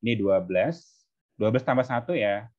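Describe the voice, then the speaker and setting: A male tutor with a fairly low voice explains things step by step in an informal way. He keeps turning to his students with short checking questions. The sound is somewhat dull and lo-fi. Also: animated, a little fast. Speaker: tutor teaching exam maths.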